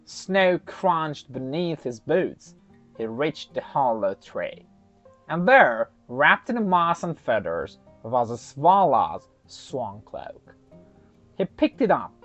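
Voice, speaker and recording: A storyteller narrating a folktale in an expressive, dramatic way, with deliberate pauses. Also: mid-range voice, no echo. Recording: slightly muffled, soft music underneath.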